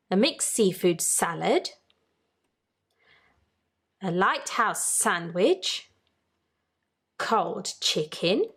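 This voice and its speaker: A woman hosting a children's story time, reading aloud in a deliberate, teacherly way and putting questions to young listeners. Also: medium pitch, fairly bright tone, slightly rough.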